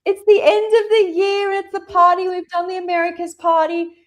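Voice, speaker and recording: A woman from Australia hosting a live video call, bubbly and excitable, laughing often. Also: extremely high pitch, moderate pace. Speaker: host. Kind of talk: casual live-stream chat.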